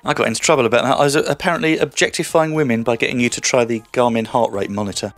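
A man's voice: medium pitch, racing, a bit bright. Breezy man presenting a tech show. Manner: breezy, teasing banter with co-host. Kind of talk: scripted year-in-review presenting.

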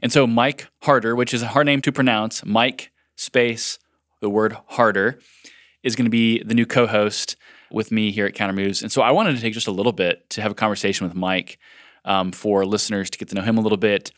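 A man's voice: fairly low pitch, steady tone, fairly quick, a bit bright, no echo, studio quality. A man hosting a podcast in a conversational interview style. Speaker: podcast host.

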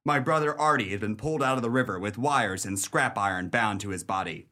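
A man's voice: on the low side, a little fast, bright, forceful tone. A man playing a dogged, bitter police detective in a 1930s pulp crime radio play, with a wry, tough-talking manner. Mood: grim, narrating.

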